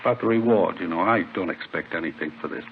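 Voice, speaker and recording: An ingratiating American hotel clerk, low-voiced and quick. He hedges and wheedles like a money-minded informant in old radio drama, heard on a thin, tinny recording. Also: a little hiss or hum. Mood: hedging, wheedling. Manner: hedging, wheedling, talkative. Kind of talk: 1950s radio drama acting.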